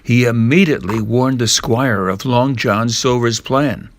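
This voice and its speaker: An older man narrating a storybook in a measured read-aloud manner, with the odd stumble. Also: medium pitch, slight background noise.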